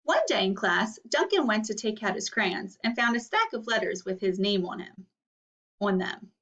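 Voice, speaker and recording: A woman's voice: medium pitch, animated, normal speed, fairly bright tone. A woman teaching a read-aloud lesson for children, explaining instructionally and posing guiding questions. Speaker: elementary teacher. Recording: dull, distant microphone.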